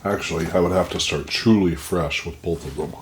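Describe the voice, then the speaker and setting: A man on a home vlog who talks haltingly, describing the heat of the pepper he has eaten, his nose running and blocked. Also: low-pitched, a little hiss or hum. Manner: halting, describing the burn as it builds. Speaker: pepper reviewer. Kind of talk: casual vlog commentary. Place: indoors at home. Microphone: plugged-in microphone.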